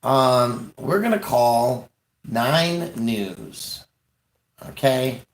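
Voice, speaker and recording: An American man rambling casually on a livestream, hesitant and pausing often as he talks. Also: mid-range voice, low-quality recording. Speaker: livestream host, activist.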